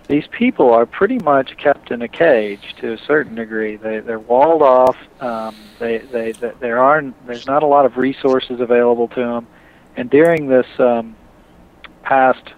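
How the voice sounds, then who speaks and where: Halting male caller on a muffled line. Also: mid-range voice. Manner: halting, searching for words. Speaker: radio show caller. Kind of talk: casual phone-in talk. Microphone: Skype call. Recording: muffled Skype call line.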